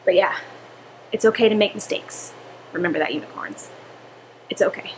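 A woman's voice: mid-range voice, normal speed, poor audio. Young woman, casual chatty vlogger, self-correcting, home kitchen, faint room hum. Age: young adult. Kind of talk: casual chat to camera. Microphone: camera on a counter.

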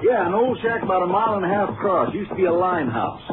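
A man playing an excitable, folksy rural lawman sidekick in a 1950s radio western. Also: high-pitched, quick pace, background noise, close to an everyday voice. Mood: excited. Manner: folksy, exclaiming. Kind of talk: 1950s radio drama acting. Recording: muffled vintage radio recording, music behind.

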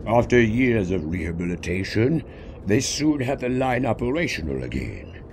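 Low-voiced man narrating over noise. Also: sing-song, normal speed, darkish tone. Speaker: story narrator. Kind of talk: scripted narration of fan fiction. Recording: clear background noise.

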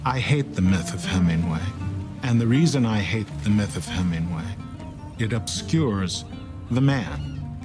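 A man's voice: on the low side, expressive, a little slow. A man giving opinionated interview commentary in an emphatic, repetitive way. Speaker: documentary interviewee. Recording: music under the voice. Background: guitar music.

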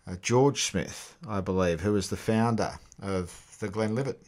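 A man's voice: lowish voice, measured pace, a little mellow. A middle-aged Australian man co-hosting a casual whisky podcast, jokey and swearing, chiming in with quips. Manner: jokey, swears freely, chimes in with short quips. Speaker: podcast co-host. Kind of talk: casual chat over whisky tasting. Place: small home studio with barrel backdrop. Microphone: boom studio mic with headphones.

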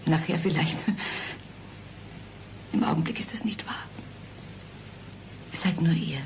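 An actress playing a frightened, despairing wife who awaits her murder, speaking haltingly in a German radio crime drama. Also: low voice, in a whisper. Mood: whispered dread. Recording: 1990s radio play with music behind.

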